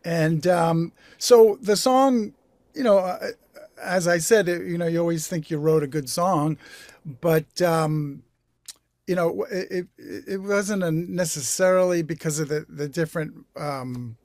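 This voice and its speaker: American man, sixties, fairly high voice, halting, self-correcting, reflective interview guest, very dry studio sound. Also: darkish tone, dry recording. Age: 60s. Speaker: songwriter guest. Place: small home recording studio. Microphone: video call.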